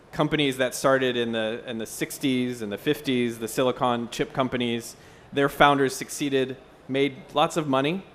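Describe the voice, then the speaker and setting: A man gives an articulate, explanatory panel answer at a conference. His voice sits at an average pitch and he talks in a measured way, with some noise around him. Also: fairly bright tone. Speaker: panel speaker from an entrepreneurship foundation. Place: conference session room.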